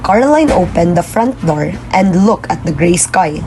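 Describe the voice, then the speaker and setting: A woman's voice: lowish voice, lively intonation, normal speed, darkish tone, a little hiss or hum. A woman narrating a scripted puppet show story.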